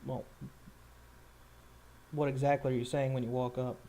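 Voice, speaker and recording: A man acting as game master, improvising scene descriptions for a tabletop roleplaying game in a halting way. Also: medium pitch, little pitch movement, mellow.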